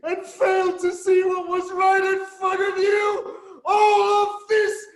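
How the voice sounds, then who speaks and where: Man voicing a blinded king, anguished, shouting, near crying, very high, flat, slow, echoey room. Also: a faint crowd. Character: blinded King Oedipus, a man in howling despair. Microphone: video call.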